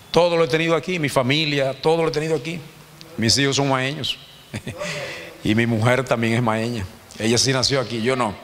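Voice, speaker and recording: A man's voice: medium pitch, fairly bright tone. A Dominican man in his fifties giving an informal Sunday teaching to a congregation, chatty and joking, often checking in with his listeners. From Dominican Republic. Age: 50s. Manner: conversational, joking, asks the congregation "¿verdad?". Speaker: church teacher. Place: church hall. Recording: long hall reverb.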